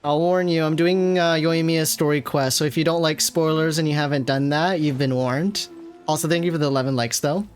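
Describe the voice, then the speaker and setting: A woman with a low voice streams a game. She comments informally and chats along with the characters and viewers, with faint game music behind her. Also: steady tone, fairly quick. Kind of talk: casual live game commentary.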